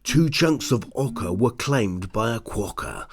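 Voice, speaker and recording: A man narrating a rhyming children's picture book in a theatrical, deliberate way. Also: fairly low pitch, sing-song, gravelly. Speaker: storybook narrator. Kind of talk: rhyming children's story read-aloud.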